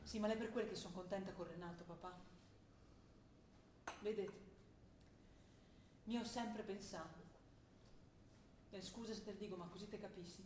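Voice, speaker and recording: A woman's voice: medium pitch, fairly even intonation, darkish tone, lightly acted. An actress playing a young idealistic revolutionary student who argues with her father. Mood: earnest. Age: young adult. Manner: earnest, quick, rising to fervent argument. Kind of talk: stage play acting. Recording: roomy stage recording with faint noise.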